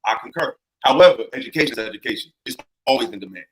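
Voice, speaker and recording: A man's voice: medium pitch, expressive, very fast, a bit bright. A man speaking as a panelist in a heated debate, emphatic and argumentative, citing data to make his case.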